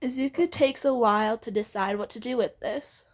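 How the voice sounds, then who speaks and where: A woman's voice: a little high, moderate pace. A woman co-hosts a fan fiction reading, narrating playfully with giggly, teasing asides. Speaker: fan fiction reader and co-host. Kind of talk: playful co-read story narration with banter.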